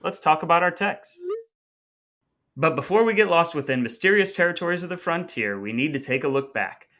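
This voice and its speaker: A man's voice: fairly high pitch, lively intonation, brisk, pushed and bright, soft background music. A man hosting a podcast in a scripted yet conversational style, with playfully grandiose, wordy phrasing. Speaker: podcast host.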